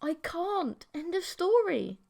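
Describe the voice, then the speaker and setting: Woman voicing an awkward, animated young boyfriend. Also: very high-pitched, slow, vocal fry, voice pushed up, exaggerated voice. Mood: reluctant, firm. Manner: hesitant, stammering. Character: awkward, easily flustered geeky young man, submissive boyfriend.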